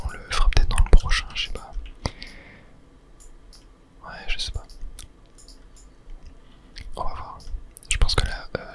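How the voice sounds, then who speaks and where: A gaming host speaks entirely in a soft, breathy whisper for an ASMR let's play. The delivery is rambling and casual, with self-corrections and small clicky filler sounds as they think aloud. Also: very high-pitched.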